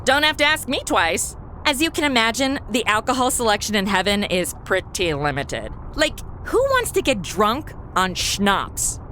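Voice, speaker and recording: Woman playing a high-pitched, sassy girl angel. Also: big pitch swings, moderate pace, sharp tone, a little hiss or hum. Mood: chatty. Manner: sarcastic modern slang, blunt. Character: sassy, flippant young female guardian angel. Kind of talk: comedy audio drama acting.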